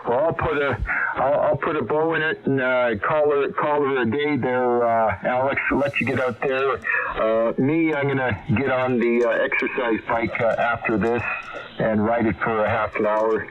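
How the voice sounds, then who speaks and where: A man's voice: mid-range voice, fairly even intonation, normal speed, crowd noise. Over a radio transmission, a man chats casually as an amateur radio operator. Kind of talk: casual ham radio chat. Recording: dull radio link, background babble.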